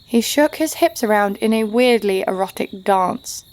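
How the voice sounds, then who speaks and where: A woman's voice: mid-range voice, expressive, moderate pace, crowd noise, natural voice. A woman playing a brash, sardonic young adventurer, blunt and sarcastic, narrating her exploits in an audio drama. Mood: disgruntled.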